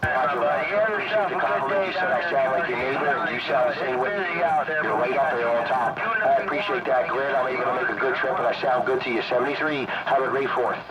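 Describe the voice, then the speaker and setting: A man's voice: mid-range voice, a little fast, few pauses, over a noisy crowd. A man chatting appreciatively with another operator over a CB radio transmission. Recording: dull CB radio, loud background babble.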